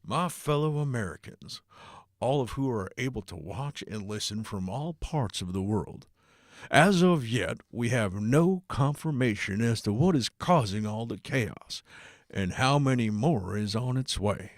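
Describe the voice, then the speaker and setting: A man playing an American president in an audiobook, giving a formal, measured address in a crisis. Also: mid-range voice, lively intonation, dry recording, studio quality. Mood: solemn.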